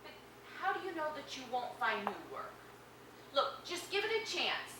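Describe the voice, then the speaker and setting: An actress playing an earnest hospital counsellor who talks gently and professionally to a patient. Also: female voice, high voice, big pitch swings, moderate pace, noisy background. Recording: roomy film sound from a distance.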